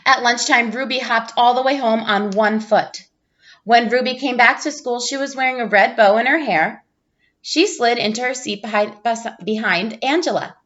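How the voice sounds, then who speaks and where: A woman's voice: on the high side, moderate pace. Woman reading a picture book, slightly muffled sound. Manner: animated character voices, clear storytelling emphasis. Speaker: teacher reading aloud. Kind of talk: expressive children's picture book read-aloud.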